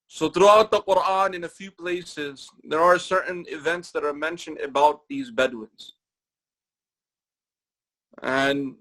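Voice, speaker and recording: A man giving a Ramadan lecture in a mosque, speaking in measured, repeated phrases and reciting Quranic Arabic between his English explanations. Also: on the high side, fairly bright tone, poor audio. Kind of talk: sermon-style religious lecture.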